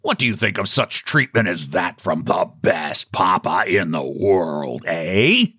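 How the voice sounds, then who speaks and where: A sly, shabby little book agent from a storybook, as a male narrator puts him on. His voice swoops widely and has a husky edge, with pompous, mock-courteous phrasing and an air of mystery. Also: low-pitched, very animated, unhurried, pushed and bright, dry recording, studio quality, heavily acted. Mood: indignant. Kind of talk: storybook character voice.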